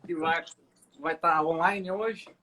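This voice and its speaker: A man, hosting a morning Bible live stream, relaxed and chatty, often restarting sentences. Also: on the high side, slow pace, background noise. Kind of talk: casual chat, prayer and Bible commentary. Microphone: phone or webcam for a live stream.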